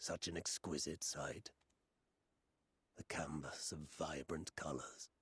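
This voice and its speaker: A menacing video game fiend with an extremely low, heavily rasping voice. He speaks slowly and solemnly, wistful as he dwells on a lost world. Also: steady tone, fairly bright tone, dry recording, full character voice. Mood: wistful menace. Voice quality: very deep, gravelly rasp. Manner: slow, solemn, measured. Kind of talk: video game villain acting.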